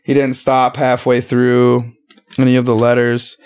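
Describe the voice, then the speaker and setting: A man hosting a podcast, talking in a relaxed, rambling, easygoing way and swearing casually. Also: medium pitch, a little slow, smooth. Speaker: podcast host. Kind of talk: casual chat.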